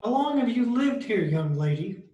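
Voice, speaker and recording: An actor playing a skeptical, businesslike homicide lieutenant in an old-time radio detective drama. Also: male voice, very high voice, very animated, moderate pace, warm tone, dull audio. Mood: resigned. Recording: roomy home remote recording.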